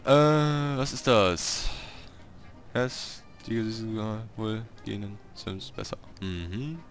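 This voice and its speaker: A man giving casual gameplay commentary, talking to himself in short, halting remarks. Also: lowish voice, faint music behind. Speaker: let's player hosting a city-building game series.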